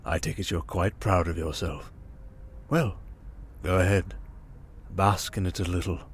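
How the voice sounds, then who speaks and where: A man playing a smug, manipulative criminal mastermind in an ASMR roleplay, calm and condescending, coaxing with endearments and soft breathy murmurs. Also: deep voice, unhurried, a little hiss or hum. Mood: smug, amused. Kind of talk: ASMR roleplay monologue, dramatic.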